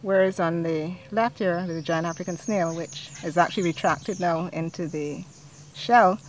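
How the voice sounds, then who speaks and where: A woman's voice: on the low side, a little hiss or hum. A woman university researcher explaining her work in a casual, interview manner.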